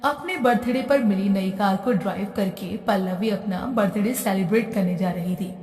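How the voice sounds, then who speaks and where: A woman's voice: mid-range voice, normal speed, darkish tone. A woman reading scripted narration for a moral story as the narrator. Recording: echoey hall-like reverb, distant.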